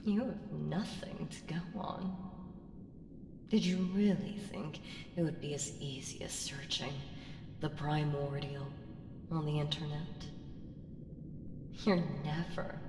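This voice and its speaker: A woman playing an ancient evil entity in an ASMR audio roleplay, seductive, menacing and playfully condescending, with teasing, drawn-out murmurs that slip into whispers. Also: low-pitched. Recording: echoing reverb with music underneath. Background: music.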